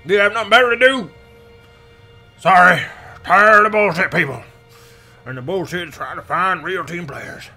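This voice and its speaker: A man in his thirties playing an angry, fed-up radio show owner in an intense, halting dramatic reading. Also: sits high, pushed and bright, breathy edge, full character voice. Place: dark room, seen close to camera. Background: ominous background music.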